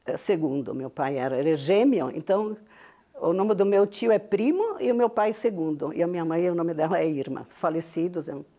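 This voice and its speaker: An older woman with a heavy Italian-Venetian-influenced southern Brazilian accent, her first language Venetian. Her voice sits somewhat low and sounds mellow and soft. She tells stories in an unhurried way and often ends a thought with a small tag question. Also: expressive. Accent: Italian-Venetian-influenced Brazilian Portuguese from Rio Grande do Sul. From southern Brazil (Rio Grande do Sul). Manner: warm storytelling, frequent tag questions. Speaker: guest, business founder. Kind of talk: interview.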